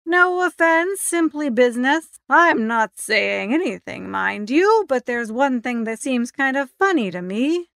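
A woman's voice: high voice, expressive, unhurried, gravelly, few pauses, a bit bright, dry recording, studio quality, exaggerated voice. A woman voicing a stout, suspicious American businessman in a story, blunt and insistent. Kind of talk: audiobook character reading.

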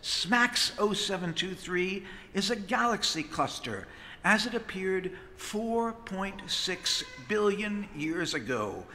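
A middle-aged man, a priest, preaching a sermon from a pulpit microphone with expressive gestures. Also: high-pitched, a little hiss or hum. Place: large stone church, at the pulpit. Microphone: pulpit microphone on a stand. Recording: long church reverb.

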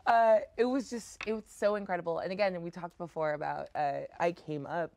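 A woman's voice: lowish voice, steady tone, brisk, gravelly, a little mellow, slight background noise. A woman in her thirties chatting casually as a playful, gushing guest on a talk show. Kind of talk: casual chat. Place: indoor studio set.

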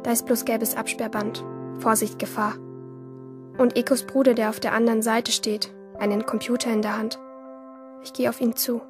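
A woman's voice: on the high side, flat delivery, moderate pace, natural voice. An actress playing an anguished teenage girl in a radio play, narrating her inner thoughts in short, intense phrases. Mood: wistful. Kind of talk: radio play interior monologue. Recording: music behind the voice.

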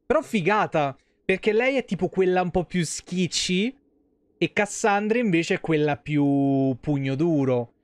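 A man's voice: fairly high pitch, dry recording. A man in his thirties with a Roman Italian accent, commentating casually on a game in slangy, rambling talk. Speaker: game streamer. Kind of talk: casual live gameplay commentary.

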